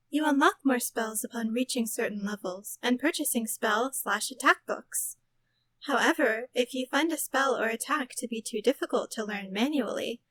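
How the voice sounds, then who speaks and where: Woman doing a sweet curious gamer girl for audiobook, high voice, bit of background noise. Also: close to an everyday voice. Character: innocent, curious young female cat-loving gamer. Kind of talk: audiobook character acting. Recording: clear background noise and ambience.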